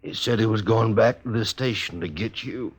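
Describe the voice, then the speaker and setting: An actor playing a badly wounded, resigned gunfighter in a 1950s radio western, weak and pained, with terse frontier speech. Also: male voice, on the low side, moderate pace, husky. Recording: dull old radio recording.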